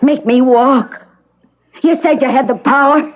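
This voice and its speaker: An actress in an old radio drama playing a bitter, demanding wife in a wheelchair, insistent and sardonic. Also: female voice, high voice, steady tone, a little slow. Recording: muffled old radio broadcast, noisy.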